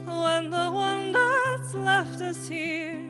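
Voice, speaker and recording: A woman's voice: very high voice, very slow. A woman singing a folk song, accompanied by guitar. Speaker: singer.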